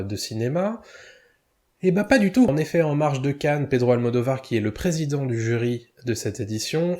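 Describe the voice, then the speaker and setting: A man's voice: medium pitch, dark tone. A man commenting casually to his viewers, full of hesitations and self-corrections, with chuckles at his own mispronunciations. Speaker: video commentator.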